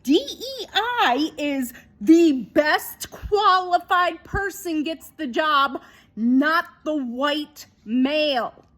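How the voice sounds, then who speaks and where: A woman giving an unscripted opinion monologue in an emphatic, repetitive, halting way. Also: sits high, lively intonation, a bit bright. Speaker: video commentator.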